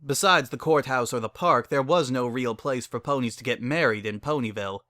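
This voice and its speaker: A man narrates a story as a dramatic reading. His voice is bright and mid-pitched, recorded very dry and close. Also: brisk, no echo. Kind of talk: dramatic reading of fan fiction.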